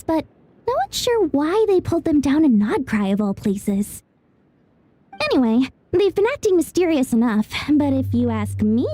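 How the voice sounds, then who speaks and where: High, theatrical scheming young merchant lady. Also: warm tone, no echo. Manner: theatrical, salesy, self-promoting. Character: scheming, greedy, chatty young female merchant. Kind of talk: video game character acting. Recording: music under the voice.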